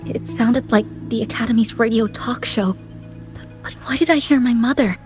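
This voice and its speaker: An actress as a young female student in a game. She speaks earnestly in a highish voice. Music is clearly audible underneath. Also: normal speed, natural voice. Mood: puzzled. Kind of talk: video game dialogue.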